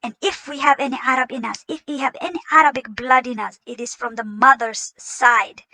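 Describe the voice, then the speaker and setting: A woman's voice: sits high, fairly even intonation, brisk, pushed and bright, dry recording. A woman, not an English speaker, reacting to a video in an indignant, mocking tone. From Somalia. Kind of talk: reacting to a clip.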